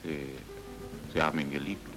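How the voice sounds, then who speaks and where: A man's voice: rumbling low, fairly even intonation, moderate pace. An actor playing a dogged, plain-spoken man investigating his friend's death in an old German radio drama, terse and probing. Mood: polite, tentative. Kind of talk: 1950s-60s German radio drama acting. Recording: old radio play with music.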